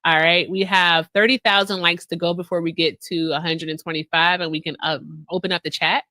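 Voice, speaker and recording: Chatty woman livestreamer, low voice, dry sound. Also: flat delivery, brisk, bright, forceful tone, dry recording. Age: 30s. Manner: chatty, laughs often, addresses viewers as y'all. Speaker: livestream host. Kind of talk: casual livestream chat.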